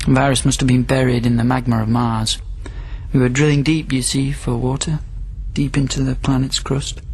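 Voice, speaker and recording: An actor playing a wistful, pleading quarantined astronaut who is centuries old and immortal, in science fiction radio drama style. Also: male voice, mid-range voice, a little mellow. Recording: clearly noisy background ambience.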